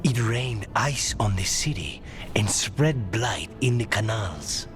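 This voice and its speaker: An actor playing a bitter, grieving assassin, grave, terse and weary, in a dramatic video game. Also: male voice, fairly low pitch, expressive, takes it slowly, music in the background. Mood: bitter anger.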